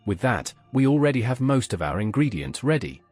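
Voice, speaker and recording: A man narrates a scripted pop culture explainer as its host. His voice sits at a medium pitch and moves with animated intonation. Faint music plays behind him. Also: fairly quick.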